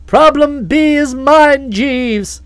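A woman playing a forceful, excitable older aunt. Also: high voice, takes it slowly, no echo. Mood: agitated. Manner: loud, emphatic, impatient.

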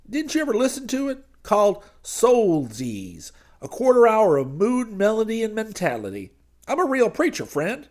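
A man plays a smarmy radio preacher, his voice pitched way up and sweet. He wheedles and pleads in a lively, swooping drawl. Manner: high sugary pleading voice, Southern drawl. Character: fast-talking oily male radio preacher, wheedling.

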